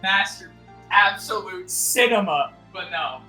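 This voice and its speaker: A man's voice: high-pitched, expressive, takes it slowly, sharp tone, faint music behind. A man bantering casually as a guest on a ranking show, joking and blunt, with lots of slang.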